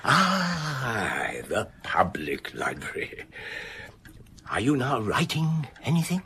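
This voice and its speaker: A man's voice: mid-range voice, wildly swooping pitch, slow pace, bright, forceful tone, slight background noise, exaggerated voice. King with wildly swooping voice. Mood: curious, gracious. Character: inquisitive, gracious eighteenth-century English king. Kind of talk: period radio drama acting.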